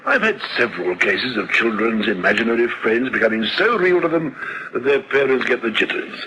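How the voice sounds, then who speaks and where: An actor playing an avuncular, unhurried old family doctor in a radio drama, full of reassurance. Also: male voice, medium pitch, big pitch swings, muffled recording, noisy background, lightly acted. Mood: reassuring.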